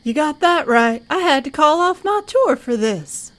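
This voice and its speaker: A woman's voice: sits high, animated, a little slow, slight background noise. A woman playing a sassy, challenging band leader and hotel owner in an audio drama. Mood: sassy, annoyed.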